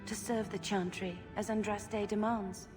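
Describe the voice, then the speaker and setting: An actress playing an earnest, wistful young mage in a video game, speaking sincerely and reflectively. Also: female voice, medium pitch, little pitch movement, unhurried, over music, natural voice. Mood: sad, earnest.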